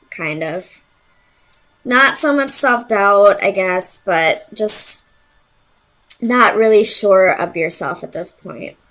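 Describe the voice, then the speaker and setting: A woman with a medium-pitched voice reads tarot in an informal way. She addresses the listener directly, as a host would. Her phrasing is loose and tentative, as if she is working out each thought aloud. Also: a bit bright, low-quality recording.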